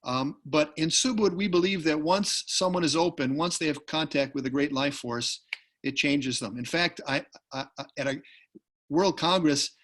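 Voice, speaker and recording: A man speaking as a poet at a literary tribute over a video call, talking and reading aloud in an unhurried, deliberate way. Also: on the high side, fairly bright tone. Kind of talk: literary talk with poetry readings.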